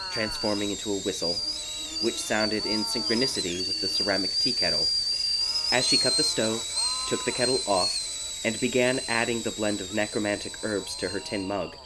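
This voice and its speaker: A man narrates a dark fairy tale in a lowish voice, as in an audiobook. Crickets and night ambience are clearly audible behind him. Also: noisy background. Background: night insects, ambience.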